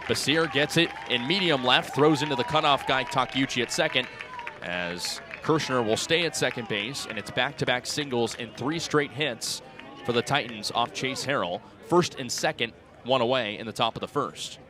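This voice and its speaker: A man's voice: mid-range voice, normal speed. Young male baseball announcer over crowd noise. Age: 20s. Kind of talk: live radio sports commentary. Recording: outdoor ballpark crowd behind voice. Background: ballpark crowd.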